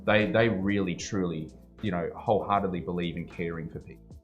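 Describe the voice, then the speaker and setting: A man's voice: low voice, fairly even intonation, a little fast, dull audio, faint music behind. A man hosting a casual podcast interview, talking in a rambling, emphatic way with lots of fillers and swearing. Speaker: podcast host.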